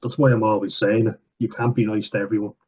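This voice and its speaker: A man's voice: on the low side, flat delivery, fast talker, a little mellow, breathy edge. A young man reading out viewer comments and answering them in blunt, sweary casual chat, with frequent fillers. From Ireland. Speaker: vlogger. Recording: dull lo-fi recording.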